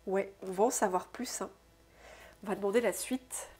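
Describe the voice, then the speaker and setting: A woman with a stuffy, congested nose from a cold, giving an intuitive oracle card reading to her viewers, musing aloud softly and often tagging her sentences with "hein". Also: mid-range voice, breathy edge. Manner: soft, unhurried, musing aloud with frequent "hein". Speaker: soul therapist and oracle card reader.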